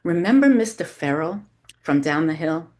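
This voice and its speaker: A woman's voice: low voice, expressive, normal speed, a little mellow. A woman voicing a chatty, blunt older mother who monologues over the phone in an audiobook-style story reading. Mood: gossipy, insistent. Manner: brash, monologuing, interrupting.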